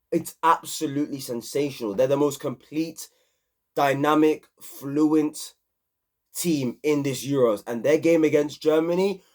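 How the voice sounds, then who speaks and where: Excitable man reviewing football. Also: medium pitch. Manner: animated, repetitive, slangy. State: hoarse from screaming. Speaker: football channel host. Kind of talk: excited casual match review.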